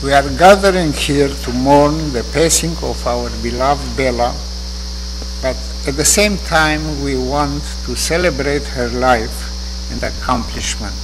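An older man with a Romanian accent giving a measured, earnest eulogy from a lectern in a synagogue sanctuary. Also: medium pitch. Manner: slow, measured, earnest delivery. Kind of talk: memorial eulogy. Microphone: lectern microphone. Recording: reverberant hall with hum.

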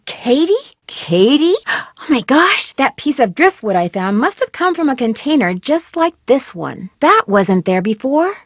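A woman's voice: on the high side, dry recording, studio quality, full character voice. An actress voicing a curious, upbeat young female detective, chirpily talking to herself in a video game. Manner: chirpy self-talk, quick remarks to herself. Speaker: game protagonist.

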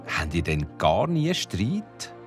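A man's voice: low voice, wildly swooping pitch, slow pace, faint music behind. A man, narrating a TV retrospective with a light, wry touch. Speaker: show host, narrator. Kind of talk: scripted TV voice-over narration.

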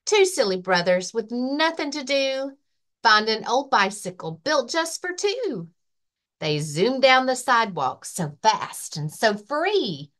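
A woman librarian reading a children's rhyming picture book aloud with expressive, rhythmic delivery. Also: a little high, takes it slowly. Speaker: librarian and story-time reader.

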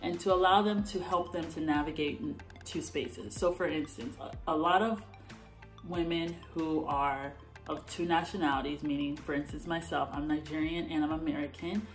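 A young woman born in Canada and raised in the US, talking casually to the camera from her bedroom, animated and gesturing as she explains. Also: deep voice, normal speed, soft background music. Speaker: vlogger. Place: bedroom at home, indoors.

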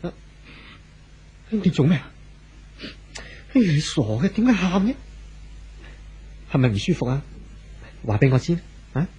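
An earnest, devoted husband pleads and coaxes in Hong Kong Cantonese, with a fairly high, very animated voice. It is 1970s radio drama, on a dull old recording with music behind. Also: male voice, a little slow, long pauses, a little hiss or hum. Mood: worried. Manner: earnest, coaxing, emotive. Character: anxious, pleading, devoted wealthy young husband.

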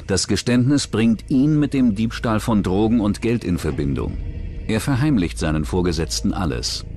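Low-voiced male documentary narrator. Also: expressive, moderate pace, mellow, faint music behind. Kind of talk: scripted true-crime narration.

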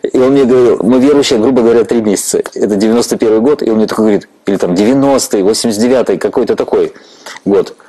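A man in his forties from Ukraine with a fairly low voice who conducts a relaxed interview on a church stage. He talks candidly and reflectively, often breaking into a laugh. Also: very fast, slightly rough, low-quality recording. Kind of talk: conversational interview.

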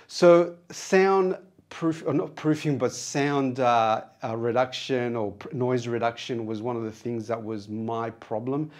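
A man's voice: medium pitch, darkish tone. A middle-aged man with a moderately nasal voice, chatting casually and in a rambling way as he explains things in a vlog. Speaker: vlogger. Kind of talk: casual vlog, gear walkthrough. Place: small acoustically treated home studio, indoors. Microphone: wireless clip-on mic on chest.

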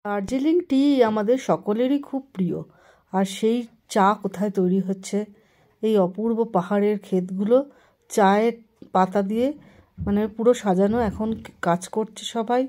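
Woman narrator, mellow warm tone, steady descriptive travel voice-over. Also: medium pitch, moderate pace. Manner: descriptive, admiring.

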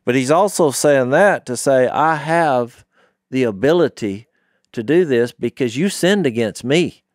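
A middle-aged man talks through scripture as a podcast co-host. He tells it like a story, leaning on key phrases, at a medium pitch. The sound is close and dry. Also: lively intonation, measured pace, no echo. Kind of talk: conversational Bible discussion. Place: small wood-panelled podcast studio. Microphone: studio microphone on boom, close.

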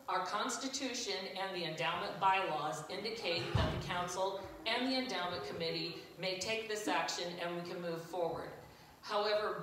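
An older woman reading church council announcements from her notes, loud and matter-of-fact, her voice a little low for a woman. She was picked up by a far-off camera in a large church, so the sound is very echoey and distant, with a clear hiss underneath. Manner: loud, plain reading with a self-deprecating aside. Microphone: far camera at the back.

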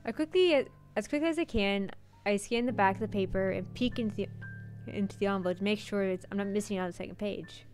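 A woman's voice: mid-range voice, fast, a little mellow. A woman streaming a horror visual novel, reading the narration aloud dramatically and sometimes stumbling over words. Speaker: game streamer. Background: game music.

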